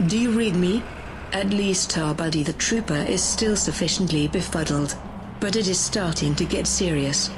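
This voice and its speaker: A woman's voice: fairly low pitch, noisy background, natural voice. A woman playing a bossy, menacing, power-hungry conspirator in a sci-fi audio drama.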